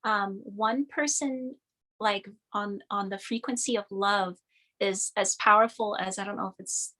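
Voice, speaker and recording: A woman from Cameroon speaking as a guest in a reflective spiritual conversation, affirming and searching gently for words. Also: mid-range voice, fairly even intonation, a little slow, poor audio.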